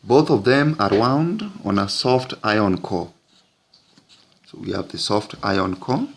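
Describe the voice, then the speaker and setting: A man teaching physics, narrating an explanatory lesson in deliberate, halting phrases with frequent breaks. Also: lowish voice, creaky.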